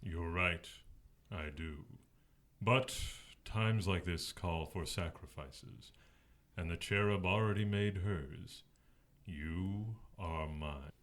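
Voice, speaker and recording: A man's voice: low-pitched, a bit bright, heavily acted. A man playing a suave, commanding fallen angel in an audio drama, smug and condescending. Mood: possessive. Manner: unhurried, smug, condescending, smooth-talking.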